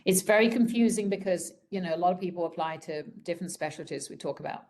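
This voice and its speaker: A woman with a croaky voice from a respiratory virus, giving an informal slide presentation to students on a video call in a conversational, frank way. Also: lowish voice, quick pace, a little mellow. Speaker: program director presenting a webinar.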